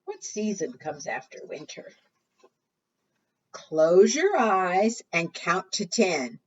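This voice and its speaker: A woman talks to preschoolers as a storytime librarian, her pitch swinging widely as she coaxes them with questions. She takes her time, and the sound is muffled, as from a microphone some way off. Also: big pitch swings, slow, distant mic, slight background noise. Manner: coaxing questions, exaggerated gasps and singsong refrains. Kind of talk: interactive storytime talk to small children.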